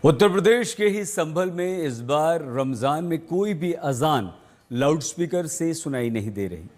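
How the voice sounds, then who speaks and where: A man's voice: a little high, animated, unhurried, a little mellow, soft background music. A man presenting scripted news as a television anchor.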